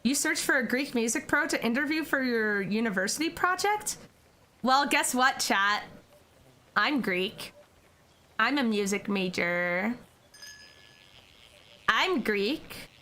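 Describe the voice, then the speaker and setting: A woman's voice: fairly high pitch, expressive, takes it slowly, pauses often, sharp tone. A young American woman streaming, chatting casually with her viewers and giggling often. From United States. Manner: giggly, chatty, answers chat questions.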